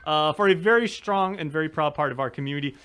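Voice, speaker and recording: A man's voice: a little high, quick pace, fairly bright tone, a little hiss or hum. A man from Guam hosting a live news interview, upbeat and joking, with many fillers and restarts. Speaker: news show host.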